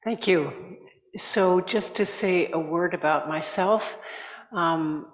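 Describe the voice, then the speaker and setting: Older lady chairing a meeting, calm and polite, lots of room echo. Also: on the low side. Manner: measured, courteous, slightly halting. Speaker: assembly chair. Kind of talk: formal welcoming address. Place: large conference hall. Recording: echoey reverberant hall.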